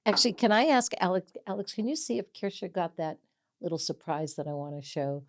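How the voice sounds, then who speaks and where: A woman's voice: fairly low pitch, fairly quick. Chatty woman in her seventies. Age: 70s. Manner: chatty, animated storyteller, laughs often. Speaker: host, mental health coach. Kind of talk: casual interview chat.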